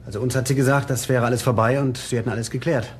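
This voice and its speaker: A man acting a brisk, probing police detective in a crime radio play, questioning in a matter-of-fact manner. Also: medium pitch, steady tone, a little hiss or hum, lightly acted. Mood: firm, accusing.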